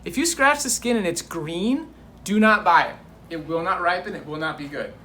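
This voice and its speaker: A man's voice: high voice, normal speed, sharp tone. Male guest presenter, instructive and informal, recorded with roomy echo and light noise. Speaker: guest presenting tropical fruits. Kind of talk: casual explaining demonstration.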